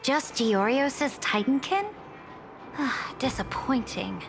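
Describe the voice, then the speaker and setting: A woman's voice: high voice, takes it slowly, creaky, music in the background, heavily acted. A woman voicing a proud young conquering empress in a video game, speaking formally and haughtily. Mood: haughty, curious. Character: proud young-looking female conquering emperor, regal and imperious. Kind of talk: video game character acting.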